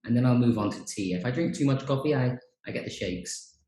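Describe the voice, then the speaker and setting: A man's voice: medium pitch, a little fast. Chatty male host, roomy sound. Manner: relaxed, chatty, frequent fillers. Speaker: podcast host, teacher trainer. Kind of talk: casual chat. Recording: roomy echo.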